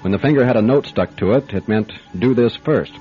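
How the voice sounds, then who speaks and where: An actor playing a matter-of-fact, wry private investigator in a radio mystery drama. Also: male voice, medium pitch, brisk, lightly acted. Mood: wry narrating. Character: dry, methodical male private investigator telling his case. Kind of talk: radio mystery acting, first-person detective narration. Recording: dull lo-fi radio recording, faint music.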